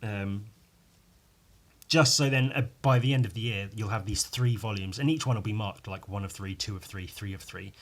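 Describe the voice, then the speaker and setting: A man with a somewhat low, croaky voice talks solo in a loose, rambling podcast style. He is informal and swears freely, often undercutting himself or ending a thought with a little tag question. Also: normal speed. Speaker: podcast host and illustrator. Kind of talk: casual rambling solo chat.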